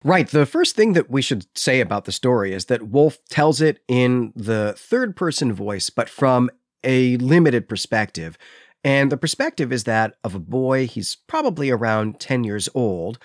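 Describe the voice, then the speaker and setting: A man talks as a podcast host recapping and discussing books. His delivery is measured and explanatory, in a mid-pitched voice. The sound is close and dry, with no room around it. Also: very animated, dry recording.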